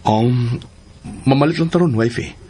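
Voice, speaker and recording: A caring husband in a Philippine radio drama. His medium-pitched voice has a Cebuano Filipino accent. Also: unhurried, mellow, breathy edge.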